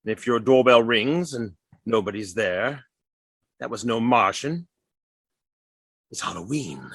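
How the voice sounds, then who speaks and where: An actor playing a genial radio director signing off a broadcast with a wry, playful manner. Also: male voice, medium pitch, expressive, a little slow, dry recording, clean studio sound. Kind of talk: 1930s radio acting.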